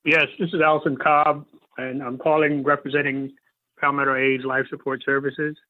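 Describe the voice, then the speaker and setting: A man's voice: mid-range voice, little pitch movement, moderate pace, no room sound. A man calls in over a narrow, dry phone line to a public hearing. He puts his questions politely and hesitantly, in a businesslike way. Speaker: caller representing a nonprofit agency.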